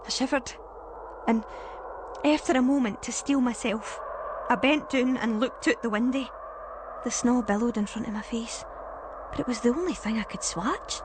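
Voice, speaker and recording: A woman playing a frightened but resolute narrator, telling a first-person ghost story in a suspenseful, deliberate way. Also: fairly high pitch, noisy background, close to an everyday voice. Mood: tense.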